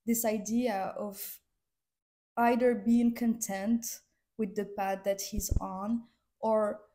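A woman's voice: mid-range voice, warm tone. A young woman who is sick, co-hosting a casual film review chat, speaking in a thoughtful, hesitant way. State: sick, voice affected by illness.

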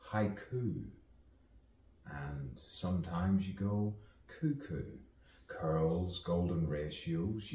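A man performing a theatrical poetic soliloquy full of wordplay, musing dramatically and drawing his words out with long pauses. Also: sits low, warm tone. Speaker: poet performing own monologue. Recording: roomy, distant mic, faint background noise.

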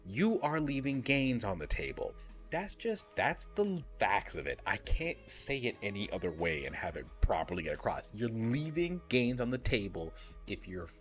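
Lively, blunt man giving fitness advice. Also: lowish voice, normal speed, faint music behind. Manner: animated, blunt and playful, with casual swearing. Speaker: fitness YouTuber host. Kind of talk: direct-to-camera fitness advice. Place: small indoor home studio room. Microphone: close podcast microphone, seen.

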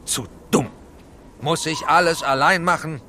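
Man acting a brusque, high-pitched SWAT chief. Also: a little slow, background noise, natural voice. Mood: irritated. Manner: clipped military commands. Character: brusque, commanding male SWAT police chief. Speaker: SWAT team leader, the Corporal. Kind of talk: children's audio drama acting.

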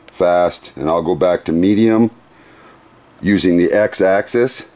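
Middle-aged male demonstrator, workshop, low pitch, slow methodical plain delivery. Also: a little mellow, a little hiss or hum. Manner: unhurried, methodical, plain-spoken with small restarts. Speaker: CNC machine demonstrator. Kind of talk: hands-on equipment demonstration. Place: workshop beside a CNC router.

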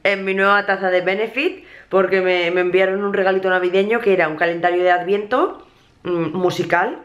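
A woman's voice: mid-range voice, brisk. A young woman chatting casually to her viewers in a home vlog, filmed on a handheld camera at arm's length in a small apartment. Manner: chatty, expressive, chatty asides to viewers. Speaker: vlogger. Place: small apartment living room, indoors.

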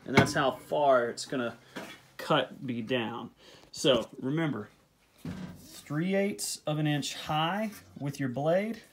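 A man giving step-by-step woodworking instructions in his home workshop, talking plainly, repeating measurements and checking in with "okay?". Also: medium pitch. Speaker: beekeeping video host. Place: home workshop at a table saw.

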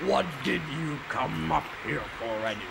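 Grumpy stingy newspaper boss, super gravelly and highish, comic dub. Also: male voice, expressive, moderate pace. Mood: scoffing. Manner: loud, scoffing, swooping delivery. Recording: heavy background noise.

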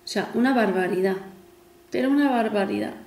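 A woman's voice: medium pitch, fairly quick, darkish tone. A woman, chatting casually to camera in a rambling way with self-mocking asides. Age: 40s. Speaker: vlogger. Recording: echoey room with faint music.

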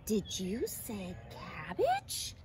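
Woman doing a little girl caterpillar, cheerful, innocent, very high, lilting, storybook. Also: huge pitch swings, normal speed, distant mic, over music. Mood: eager.

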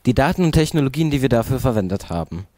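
A man's voice: mid-range voice, very animated, fast, dark tone, no room sound, studio quality. A teenage boy presenting his team's frontend tools on a handheld mic, with fillers. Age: teens. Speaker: student presenter.

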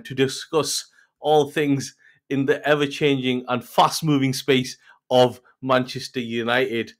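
A man's voice: on the high side, fairly bright tone. A middle-aged man hosting a casual football chat over a video call, eager and full of fillers and restarts. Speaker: podcast host. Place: home room, indoors. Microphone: podcast mic on video call.

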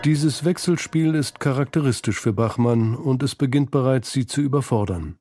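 A man narrating a scripted literary radio feature in a measured manner. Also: medium pitch, loud music behind the voice.

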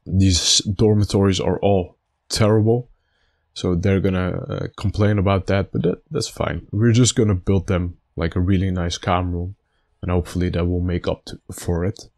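Low voiced gamer guy, bit raspy and stuffed up, just chatting thru his game as he thinks. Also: level tone, normal speed, darkish tone. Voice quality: slightly raspy. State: stuffy nose after being sick. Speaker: gaming video host. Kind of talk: casual gameplay commentary.

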